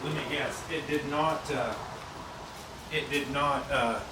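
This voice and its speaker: A man's voice: mid-range voice, fairly even intonation, takes it slowly. A man from Oklahoma bantering and cursing casually while working on a car engine in a garage. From Oklahoma, USA. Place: home garage. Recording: noisy garage.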